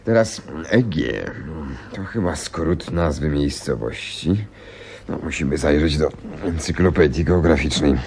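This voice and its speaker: A man acting a brilliant, coolly analytical gentleman detective in a radio play, husky and pedantic. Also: a bass voice, slight background noise. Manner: pedantic, commanding, deliberate.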